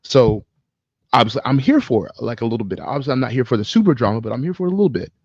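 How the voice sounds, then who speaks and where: A man co-hosting a podcast, telling stories in an animated, slangy, casual way with plenty of swearing. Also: mid-range voice, very fast, no room sound, lo-fi sound. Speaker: podcast co-host. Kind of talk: casual chat and storytelling.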